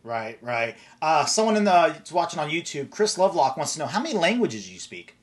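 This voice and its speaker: A man's voice: a little high, big pitch swings, a little fast. A man hosting an interview, talking loosely and casually with rambling sentences. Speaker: host.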